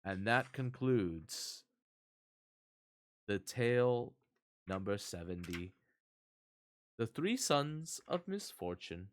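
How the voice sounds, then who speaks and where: Guy reading a fairy tale out loud, normal mid pitch, measured storyteller delivery. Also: expressive. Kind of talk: scripted fairy tale narration.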